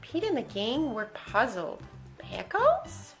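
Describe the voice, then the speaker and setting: A woman's voice: high-pitched, very animated, slow, a bit bright, soft background music. A woman reading a children's picture book aloud in a playful, exaggerated, sing-song manner.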